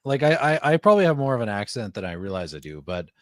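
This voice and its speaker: A man's voice: mid-range voice, sing-song, fast, dry recording, studio quality. A man with a Canadian accent chatting casually and telling anecdotes on a podcast. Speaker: podcast participant.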